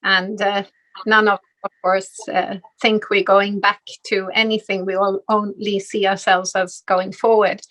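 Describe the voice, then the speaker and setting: A woman's voice: medium pitch, flat delivery, unhurried, a bit bright, poor audio. A woman moderating a live panel discussion, speaking with frequent hesitations and fillers. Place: event stage.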